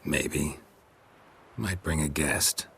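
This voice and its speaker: An actor playing a stoic, world-weary monster hunter in a video game, with a gravelly voice and a terse, deadpan, sardonic delivery. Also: male voice, very deep voice, extremely slow, full character voice. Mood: wry, calm. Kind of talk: video game character acting.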